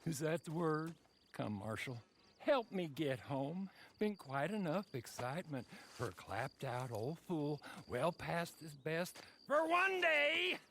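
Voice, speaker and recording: An actor playing a jokey, self-mocking old frontier fool in a Western video game, with a gravelly, folksy delivery. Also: male voice, fairly high pitch, very animated, measured pace, a bit bright, exaggerated voice. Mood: weary, wry. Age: older. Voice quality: creaky, gravelly. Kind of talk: video game cutscene acting. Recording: faint background noise.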